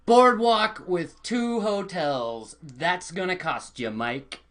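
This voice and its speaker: A man's voice: high-pitched, slow, fairly bright tone, pitched below the performer's own voice. A man voicing a cocky, dismissive board-game player in an amateur live script read. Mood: smug. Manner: smug, dismissive.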